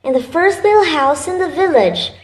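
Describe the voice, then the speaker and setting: Woman playing very high-pitched innocent little girl. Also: expressive, normal speed, poor audio. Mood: polite, trusting. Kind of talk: children's radio drama acting.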